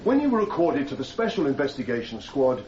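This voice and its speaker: An actor playing a formal, accusatory cross-examiner, pointed and insistent, in a radio courtroom drama. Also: male voice, mid-range voice, sing-song, brisk, darkish tone, lightly acted. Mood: pressing. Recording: echoey room, slightly dull sound.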